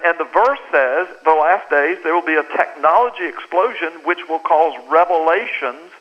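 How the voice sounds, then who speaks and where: A man's voice: fairly high pitch, moderate pace. An American man, a book's author, telling a story in a radio interview and acting out the voices of the people in it. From United States. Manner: animated storyteller who acts out quoted dialogue. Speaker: author guest. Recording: lo-fi phone line.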